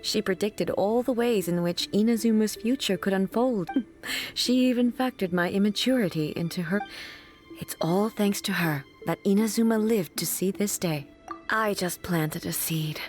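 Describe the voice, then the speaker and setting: Regal female sovereign, played by an actress: mid-pitched, formal, earnest and solemn, anime game scene with music behind. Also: normal speed, darkish tone, close to an everyday voice. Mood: reflective. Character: solemn, regal female ruler, grave and reflective. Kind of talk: anime video game voice acting.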